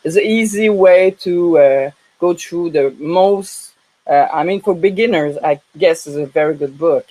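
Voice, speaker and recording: A woman from Canada with a French-Canadian accent hosting a casual live interview in a halting way, often repeating words. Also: on the low side, mellow, rough recording. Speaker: live show host.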